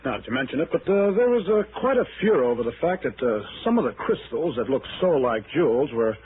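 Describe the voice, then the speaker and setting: An actor playing a courteous, curious medical specialist in a 1960s radio drama, halting and professional. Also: male voice, medium pitch, very animated, close to an everyday voice. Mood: puzzled, curious. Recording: narrow-band vintage radio, faint noise.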